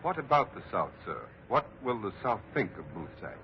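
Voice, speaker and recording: Low-voiced grave radio reporter. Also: male voice, sing-song, normal speed. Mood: earnest, urgent. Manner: earnest, dramatic, formal. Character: grave, urgent male radio news reporter. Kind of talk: 1940s radio acting, live news reporting. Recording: muffled, noisy 1940s radio broadcast.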